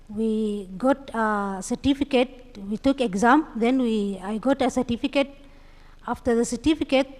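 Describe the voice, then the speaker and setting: A woman with a Kenyan accent giving an unscripted panel account, halting and repeating words as she goes. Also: a little high, a little mellow. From Mandera, Kenya. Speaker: panelist, community digital trainer. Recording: echoey hall with long reverb.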